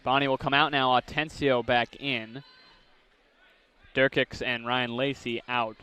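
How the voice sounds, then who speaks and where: A young man calling basketball play-by-play, with rapid calls that climb sharply on big plays. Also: mid-range voice, flat delivery, bright, forceful tone, clear, resonant tone, a faint crowd. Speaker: play-by-play announcer. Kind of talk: live basketball play-by-play commentary.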